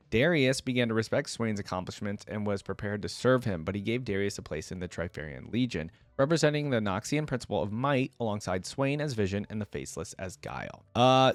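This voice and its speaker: Man, reaction host, lowish voice, dry close sound, casual read-aloud with offhand comments. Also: no room sound. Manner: conversational, off-the-cuff asides while reading. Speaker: reaction video creator and host.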